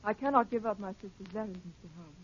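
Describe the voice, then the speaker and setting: Woman acting young lady, brisk pace, reserved, resolute, formal, 1930s radio drama, dull faintly noisy recording. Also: medium pitch, natural voice. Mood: guarded, resolute. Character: guarded, wronged young lady, quietly resolute.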